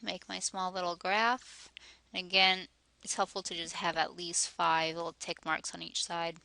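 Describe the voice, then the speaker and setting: Slightly hoarse woman teaching math. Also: fairly low pitch, little pitch movement, normal speed, pushed and bright, no room sound. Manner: methodical, talks through each step aloud. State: slightly hoarse, losing her voice. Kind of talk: step-by-step math lesson.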